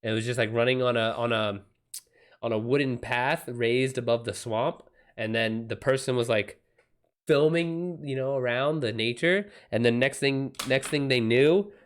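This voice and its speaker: A man chats casually as a live streamer. His pitch sits in an ordinary middle range, and he talks in an informal, slangy way with animated reactions. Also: normal speed, clean tone. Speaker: live streamer and author answering viewer questions. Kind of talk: casual livestream chat.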